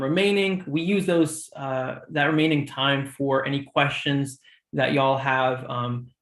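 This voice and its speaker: A man's voice: a little high, echoey room. A young man hosting a student shadowing live stream, giving organized reminders with frequent ums. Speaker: host of a student shadowing program.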